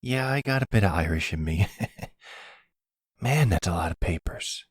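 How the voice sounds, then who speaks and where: A man's voice: low-pitched, animated, a little slow, slightly rough, dry recording, heavily acted. A man voicing an earnest, casual young man, the son in a roleplay audio drama. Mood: earnest, curious. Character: earnest young man, mob boss's partner's troubled son.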